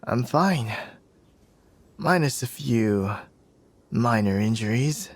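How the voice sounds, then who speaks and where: A man's voice: medium pitch, animated, takes it slowly, no room sound. A man playing a cocky, teasing young warrior in an ASMR roleplay, smug and playfully possessive toward the listener. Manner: teasing, smug, breathy sighs and chuckles.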